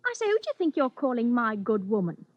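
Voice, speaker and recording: An actress playing a practical, exasperated wife, scolding and sarcastic in a 1940s radio suspense drama. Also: female voice, a little high, very animated, fast, no echo, dull audio. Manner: scolding, sarcastic, plain-spoken. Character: nagging, practical, exasperated working-class wife.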